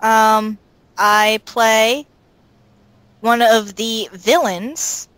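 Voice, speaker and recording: Unhurried woman with a highish, dry voice. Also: fairly even intonation, a bit bright, no room sound, poor audio. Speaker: voice actor being interviewed. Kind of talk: casual chat.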